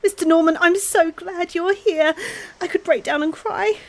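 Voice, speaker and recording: A woman's voice: very high voice, fairly even intonation, moderate pace. An actress playing a frightened, emotional young woman in a radio mystery drama. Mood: tearful, relieved.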